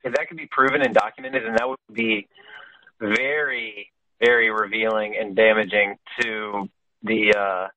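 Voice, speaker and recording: A man's voice: fairly low pitch, fairly even intonation, normal speed, sharp tone, no room sound, rough recording. A man in an informal political discussion on a conference call, explaining his points and often checking that listeners follow. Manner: explanatory, asks check-in questions like "you know?".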